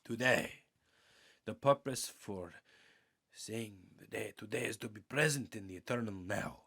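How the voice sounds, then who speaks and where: Husky, low-voiced man reading meditatively. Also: pushed and bright. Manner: earnest, measured, prayerful delivery with deliberate pauses. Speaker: audiobook reader. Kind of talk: slow meditative spiritual reading.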